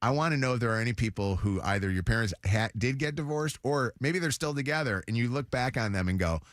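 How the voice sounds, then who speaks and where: A man's voice: mid-range voice, lively intonation, quick pace, a bit bright, dry recording, studio quality. A man hosting a morning radio show, telling stories in a rambling way with lots of restarts. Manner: rambling storyteller, restarts a lot. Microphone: studio mic.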